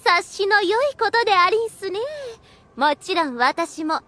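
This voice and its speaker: A woman's voice: very high voice, expressive, unhurried, pushed and bright, slight background noise, exaggerated voice. A woman voicing a sly, coquettish vampire girl in an anime audio drama, coaxing in affected archaic courtesan speech.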